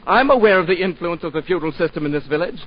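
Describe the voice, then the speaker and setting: A man's voice: fairly high pitch, lively intonation, quick pace. An actor playing an indignant young man just back from university, in a 1940s radio drama, sarcastic and theatrical. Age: young adult. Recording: dull lo-fi 1940s radio broadcast.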